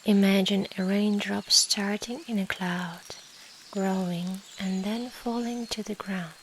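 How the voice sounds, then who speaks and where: A woman guiding a meditation in a soft, soothing, measured way with long pauses and breathy endings. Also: mid-range voice, rough recording. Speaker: meditation guide. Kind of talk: slow guided meditation. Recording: steady rain noise behind the voice. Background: rain and nature ambience.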